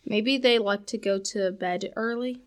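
A woman's voice: mid-range voice, measured pace, natural voice. Woman playing a sensible, polite young girl. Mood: dismissive. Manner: polite, reasoning.

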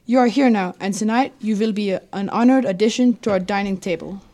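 A woman's voice: medium pitch, a little fast, warm tone, natural voice. A woman playing a courtly, sinister male vampire count who speaks with grand, welcoming formality. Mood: grand welcome.

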